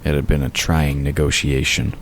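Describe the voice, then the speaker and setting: A male narrator with an extremely deep voice reads scripted fiction. Clear noise runs behind his storytelling. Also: little pitch movement, normal speed.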